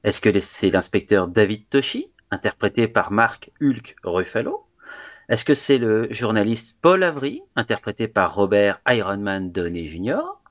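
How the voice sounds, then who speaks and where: A man hosting a podcast quiz, reading out the questions in a measured, deliberate way. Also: lowish voice. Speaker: quiz host.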